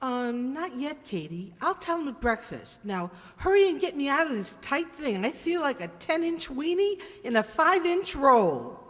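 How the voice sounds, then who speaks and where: A woman's voice: high voice, very animated, moderate pace, few pauses, a bit bright. A woman playing a ditzy, scheming, playful suburban housewife with theatrical, wheedling comic timing in an old-time radio sitcom. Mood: sheepish, breezy. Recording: echoey hall, long reverb.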